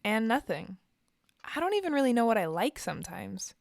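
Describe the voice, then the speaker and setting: A woman's voice: fairly high pitch, sing-song, fairly quick, creaky, no echo, studio quality. A woman playing a guarded, self-doubting young trainee in an audio drama, hesitant and downbeat in naturalistic dialogue. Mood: uncertain, searching. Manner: hesitant, downbeat, clipped answers.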